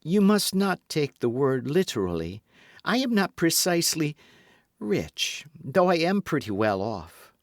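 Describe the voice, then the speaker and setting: A man's voice: a little high, very animated, measured pace, dry recording, clean studio sound, pitched above the performer's own voice. A man reading an audiobook, voicing a proud, anxious lawyer's wife in a raised, pleading voice. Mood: piqued. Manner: piqued, pleading.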